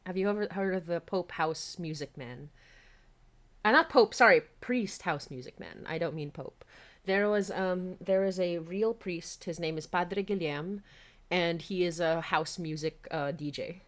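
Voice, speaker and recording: A woman hosting a casual livestream, chatty and animated, often giggling as she talks. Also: on the low side, a little slow. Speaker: podcast host and writer.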